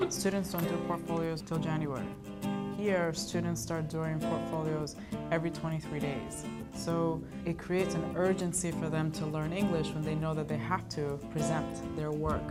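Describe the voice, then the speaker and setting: A woman from the school staff speaks emphatically in an interview, explaining things with earnest conviction. Her voice runs very low for a woman, and loud guitar music plays beneath it. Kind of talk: documentary interview.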